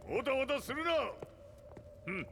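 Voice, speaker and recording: A man's voice: extremely high pitch, big pitch swings, brisk, background noise. An actor playing a ruthless, haughty old Japanese warlord in a video game, with commanding, archaic old-man diction. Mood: gruff, impatient. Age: older. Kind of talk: dramatic video game voice acting. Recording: loud music behind the voice.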